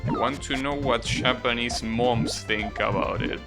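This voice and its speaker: Male game streamer, mid-pitched, unhurried, hesitant off-the-cuff commentary over prominent game music. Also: steady tone. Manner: hesitant, repeats words, swears casually. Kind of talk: casual live gameplay commentary. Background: video game music.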